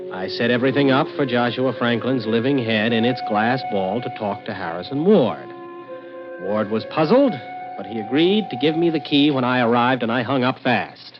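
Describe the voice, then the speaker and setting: An actor playing a scheming, greedy crook who also narrates, persuasive and glib, in 1940s radio suspense style. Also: male voice, mid-range voice, natural voice. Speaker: protagonist and narrator. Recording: dull old radio recording with music.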